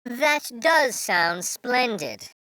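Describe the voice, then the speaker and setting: A man's voice: very high-pitched, sing-song, drawn-out words, pushed and bright, clear, resonant tone, no echo, pitched above the performer's own voice, full character voice, rough recording. A man voicing a refined, proud city mouse in a posh, affected way for a children's storybook. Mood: agreeable.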